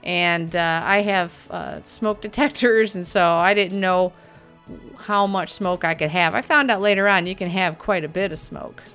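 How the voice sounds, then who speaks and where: A middle-aged woman giving a casual, chatty product review from her workshop, upbeat and quick to laugh, with plenty of uh fillers. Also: fairly low pitch, a bit bright, clear, resonant tone, soft background music. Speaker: prop maker reviewing a tool. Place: home workshop studio, indoors.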